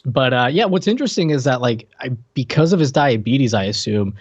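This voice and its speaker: Male podcast co-host, mid-pitched, wry informal TV banter, slightly muffled and very dry recording. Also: lively intonation, fairly quick, no room sound. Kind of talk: casual chat about a TV show. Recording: dull, dry lo-fi microphone sound.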